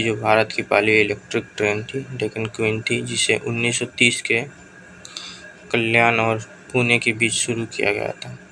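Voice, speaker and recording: Gravelly man with a sore throat, flat delivery. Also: mid-range voice, noisy background. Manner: brisk, urges viewers to answer quickly. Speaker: teacher. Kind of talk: quiz-style exam prep lesson.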